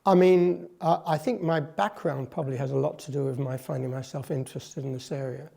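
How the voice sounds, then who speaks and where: A man who is a psychotherapist and whose first language is French speaks reflectively in an interview, pausing often and restarting as he searches for words. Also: mid-range voice, fairly even intonation, vocal fry, dark tone. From France, Netherlands, US, England; a mixed upbringing. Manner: thoughtful, searching for words, many ums and restarts.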